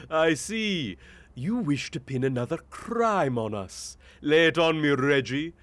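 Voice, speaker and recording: Man acting slow, pompous chicken crime boss. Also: on the high side, sing-song, a bit bright, no room sound, heavily acted. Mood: mocking. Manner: drawling, pompous, menacingly courteous. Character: smug, elegant male chicken crime boss. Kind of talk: comic audio drama villain acting.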